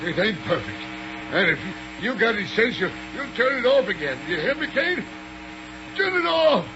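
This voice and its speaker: An actor playing a stubborn, slow-witted, blustering captive in a 1930s radio serial, stammering and repeating himself in folksy ungrammatical speech. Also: male voice, sits high, sing-song. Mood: panicked, blustering. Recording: muffled, noisy 1939 radio recording.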